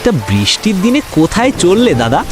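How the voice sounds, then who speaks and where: A man's voice: high voice, huge pitch swings, brisk, music in the background. A man voicing a chatty, henpecked, idle village buddy in a cartoon. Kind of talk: cartoon voice acting.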